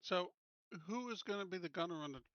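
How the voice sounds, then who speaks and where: A man's voice: high voice, expressive, fast, a bit bright, dry recording, muffled recording. A man playing a tabletop game, casually deliberating aloud over the plan with the group.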